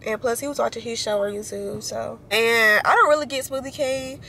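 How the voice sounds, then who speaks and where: A woman's voice: a little high, steady tone, brisk, gravelly, pushed and bright, slight background noise. Chatty young woman vlogging from her car. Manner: chatty, slangy, addresses viewers as y'all. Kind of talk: casual vlog chat to camera. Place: parked car and indoors at home. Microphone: handheld camera at arm's length.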